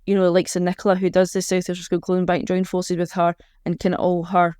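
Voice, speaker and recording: A woman's voice: fairly low pitch, monotone, quick pace, darkish tone, clear, resonant tone, dry recording, studio quality. A woman with a Scottish accent chatting informally as a charity organiser being interviewed. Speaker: charity organiser, podcast guest.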